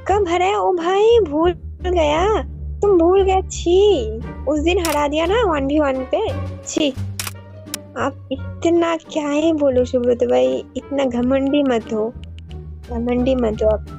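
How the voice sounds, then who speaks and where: A woman's voice: high voice, steady tone, takes it slowly, gravelly, warm tone, highs cut off, faint music behind. A young woman on a game voice chat, pushy and teasing, then indignant as she argues with a friend. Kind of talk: casual game-lobby chat.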